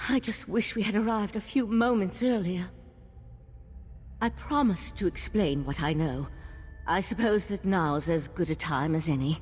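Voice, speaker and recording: A woman voicing a composed, inquisitive mage of an ancient monastic order in a fantasy game, measured, formal and articulate. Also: on the low side, a little mellow, slightly rough. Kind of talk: scripted fantasy game dialogue. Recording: long reverb, faint background noise.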